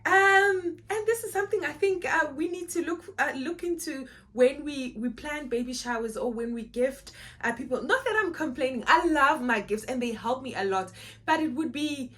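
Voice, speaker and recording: A woman's voice: high voice, moderate pace, fairly bright tone. A young South African woman, a native Xhosa speaker, chatting casually to camera in a vlog, repeating words and laughing easily. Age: young adult. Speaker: vlogger.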